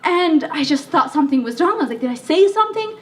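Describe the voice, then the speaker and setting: Young woman from Libya, a bit under the weather, chatty talk with fillers, high voice, distant mic. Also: lively intonation, fast. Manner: chatty, self-deprecating, with fillers. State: sick. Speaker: speaker. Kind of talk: speech to an audience. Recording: voice from far off the mic.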